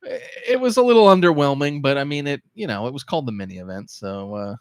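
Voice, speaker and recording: Brief: male podcast co-host, smooth and clear, dry close mic, meandering casual talk. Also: medium pitch, lively intonation, fast talker, no room sound. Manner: rambling, hesitant with many fillers. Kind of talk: casual chat.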